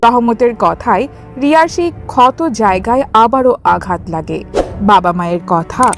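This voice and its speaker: A woman narrating a scripted cartoon story in an expressive storytelling style. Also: on the high side, a little slow, music in the background.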